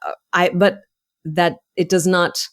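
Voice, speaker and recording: A woman with a fairly low voice answers as an interview guest. She is measured and precise, choosing her points with care. The sound is very dry and close, with no room on it. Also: little pitch movement, no echo. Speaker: interview guest, author and actor. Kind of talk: interview conversation.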